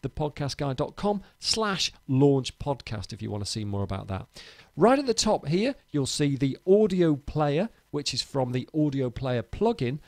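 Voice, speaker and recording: A man's voice: medium pitch, big pitch swings, moderate pace, darkish tone, no room sound. A man giving an informal screencast tutorial in a chirpy, emphatic, chatty presenter's manner. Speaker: podcast host giving a tutorial.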